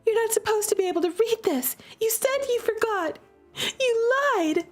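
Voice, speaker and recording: A woman voicing a fierce teenage girl who gives sharp, commanding orders in a game read-through. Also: animated, normal speed, faint music behind. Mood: shrill, urgent. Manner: shrill, commanding. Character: fierce teenage girl, protective older sister.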